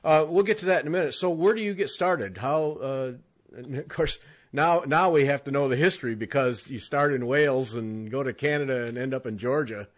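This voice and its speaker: A man's voice: mid-range voice, fairly quick. Casual, joking male podcast host. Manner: jokey, teasing. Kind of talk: casual conversational interview.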